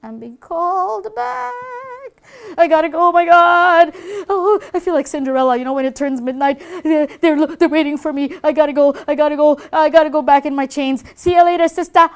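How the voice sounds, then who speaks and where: Woman playing a boastful, high-pitched puppet devil. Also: brisk. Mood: panicked, theatrical. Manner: theatrical, chatty, sly bragging. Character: boastful, mischievous devil puppet, gleeful tempter. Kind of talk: children's puppet show acting.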